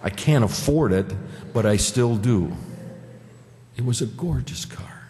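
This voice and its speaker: A man's voice: medium pitch, animated, measured pace, mellow, a little hiss or hum. A man in his fifties with an Upper Midwest American accent, preaching a conversational sermon from a pulpit mic and asking the congregation questions. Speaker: pastor. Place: church sanctuary, at a pulpit. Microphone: pulpit microphone. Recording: church hall reverb.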